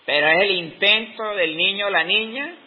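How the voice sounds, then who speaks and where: A Colombian man in his fifties, giving an unscripted talk on teaching with slow, reflective pauses in the middle of his sentences. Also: high-pitched. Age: 50s. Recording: dull lo-fi recording.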